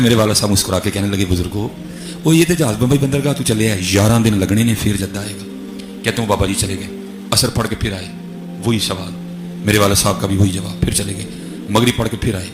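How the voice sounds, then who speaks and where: A man with a Pakistani Punjabi accent preaching through religious storytelling, acting out quoted dialogue. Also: lowish voice. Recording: echoey, music behind voice. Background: music.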